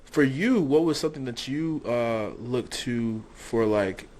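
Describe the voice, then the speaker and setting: A man's voice: medium pitch, a little slow, darkish tone. A young man interviewing a guest in a casual, rambling way, with lots of fillers. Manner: rambling questions, many fillers.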